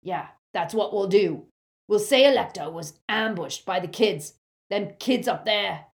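A woman's voice: mid-range voice, unhurried, pitched above the performer's own voice, close to an everyday voice. A woman voicing a brutish, hot-tempered male wizard thug who talks in coarse dialect. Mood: crafty. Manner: loud, coarse dialect speech.

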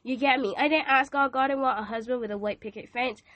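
A woman's voice: high voice, fast, dry recording, dull audio. A teenage girl with a Barbadian accent, sounding nasal from a cold, hosting a casual devotional podcast in a chatty, playful way. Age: teens. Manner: chatty, playful, asks listeners questions. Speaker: podcast host. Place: hot room with a fan.

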